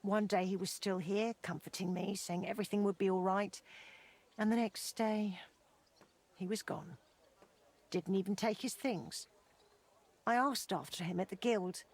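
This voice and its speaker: Husky, plainspoken motherly older woman. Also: medium pitch. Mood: wistful. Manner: plainspoken, reminiscing. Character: motherly old blacksmith's wife, plainspoken and wistful. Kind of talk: video game dialogue acting.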